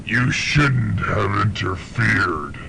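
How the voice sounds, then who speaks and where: An actor playing a menacing, man-eating demon with a drawn-out, threatening growl. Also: expressive, gravelly, bright, forceful tone, exaggerated voice. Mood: menacing, gloating. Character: menacing demon that hungers to devour people. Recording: noisy amateur student radio recording, rumble.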